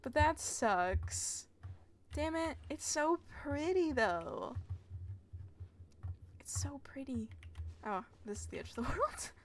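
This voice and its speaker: Fairly high young woman streaming. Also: very animated, slow pace, long pauses, a little hiss or hum. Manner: giggly, sweary, exclaiming, rambling. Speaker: game streamer. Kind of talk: casual livestream commentary over a game.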